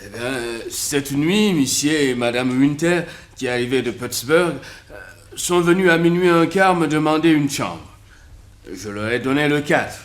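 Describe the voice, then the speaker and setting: Actor as a halting, higher-voiced night porter. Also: male voice, natural voice. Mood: hesitant, dutiful. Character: hesitant, dutiful hotel night porter. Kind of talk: French radio detective drama acting.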